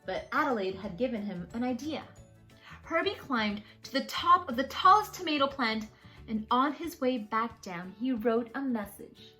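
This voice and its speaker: A woman host reading a children's picture book aloud in an animated, expressive storytelling way with drawn-out phrasing. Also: on the high side, soft background music.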